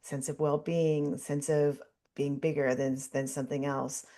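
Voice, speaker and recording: Casting: deep-voiced woman podcast host, curious, thinks aloud with ums. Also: little pitch movement, a little slow, mellow. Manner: curious, musing with many ums. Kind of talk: casual interview talk.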